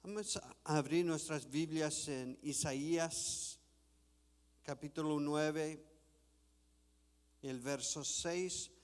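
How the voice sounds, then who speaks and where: A man preaching a sermon in a church, reading scripture slowly and deliberately. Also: a little high, clear, resonant tone, in a large hall. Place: church sanctuary.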